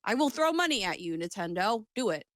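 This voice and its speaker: A woman's voice: medium pitch, big pitch swings, fairly quick, a bit bright, no room sound, clean studio sound. A woman co-hosting a casual gaming chat show, talking in a rambling, sweary way and often interrupting herself.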